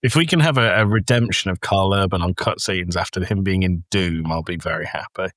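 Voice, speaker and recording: A man's voice: low-pitched, fairly quick, a bit bright, no room sound, clean studio sound. A man co-hosting a podcast with casual, playful, teasing banter. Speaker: podcast co-host.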